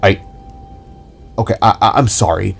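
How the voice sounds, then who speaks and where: A man voicing a frantic, excitable young male police officer who stammers when flustered. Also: lowish voice, moderate pace, creaky, husky, soft background music.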